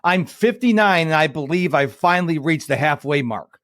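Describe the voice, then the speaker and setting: A man's voice: on the high side, expressive, normal speed, fairly bright tone, no echo, studio quality. A man, hosting a podcast interview in an enthusiastic, motivational way. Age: 50s. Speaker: podcast host. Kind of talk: casual interview conversation.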